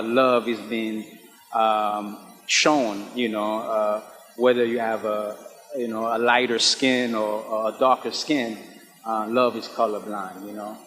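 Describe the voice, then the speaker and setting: Male actor from Haiti, Creole native, medium pitch, sincere halting interview delivery, reverberant space. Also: fairly bright tone. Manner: halting, earnest. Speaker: stage actor, interview guest. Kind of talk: earnest interview talk.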